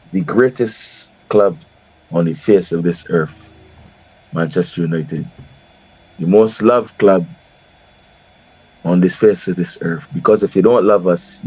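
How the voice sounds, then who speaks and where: A man with a Bajan accent, a podcast guest talking sport in a calm, wry, mock-solemn way. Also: low-pitched, husky, lo-fi sound. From Barbados. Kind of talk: casual sports chat.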